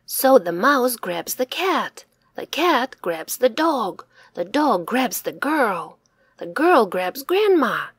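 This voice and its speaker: A woman reading a children's folk tale aloud in slow, expressive, sing-song storytelling. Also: on the high side, slightly rough, no room sound, clean studio sound.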